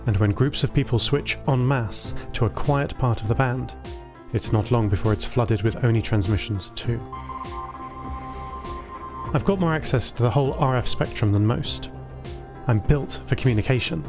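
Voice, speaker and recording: A man narrating a scripted first-person science fiction story as a thoughtful, caring artificial intelligence. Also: medium pitch. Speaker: narrator of an audio fiction podcast. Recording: voice over a music bed.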